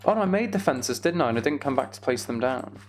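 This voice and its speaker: A man's voice: mid-range voice, expressive, fast. A man chatting casually as he livestreams a game, with self-deprecating, muttered asides to himself. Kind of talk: casual gaming livestream chat with commentary.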